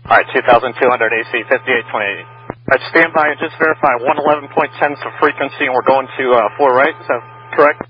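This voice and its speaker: Fast-talking male pilot on muffled radio. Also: medium pitch. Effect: aircraft radio. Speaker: airline pilot. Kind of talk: clipped radio readbacks. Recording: muffled air traffic radio.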